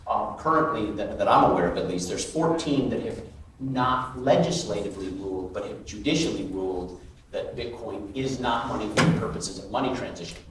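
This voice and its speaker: A man on a conference panel talks in a halting way, often restarting his sentences. His voice is picked up from a distance in a very echoey hall, with faint background noise. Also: lowish voice, expressive. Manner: halting, many restarts and fillers. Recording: echoey hall, mic from afar.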